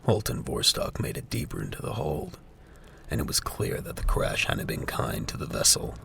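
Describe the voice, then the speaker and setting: Very deep, gravelly male narrator. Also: very animated, moderate pace, pushed and bright, slight background noise. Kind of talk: scripted dramatic narration.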